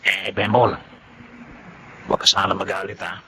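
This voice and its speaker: A man playing a chatty, concerned buddy of a jeepney driver in a radio drama, colloquial and animated. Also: deep voice, measured pace, slight background noise. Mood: friendly, teasing.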